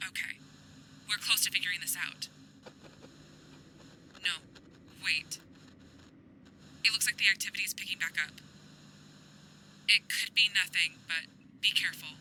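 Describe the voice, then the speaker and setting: Woman as a bright, forceful ghost-hunting captain. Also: mid-range voice, measured pace, long pauses, a little hiss or hum, rough recording. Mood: cautioning. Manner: businesslike, cautioning. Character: watchful, commanding woman captain of ghost hunters. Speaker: team captain character. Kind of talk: audio roleplay acting.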